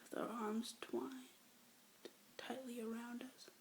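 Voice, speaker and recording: A young woman whispering love poems aloud with hesitant, rambling asides between them, breathy and soft, close to a phone or webcam mic. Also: fairly high pitch, little pitch movement, takes it slowly, a little hiss or hum. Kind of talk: whispered poetry reading, ASMR-like.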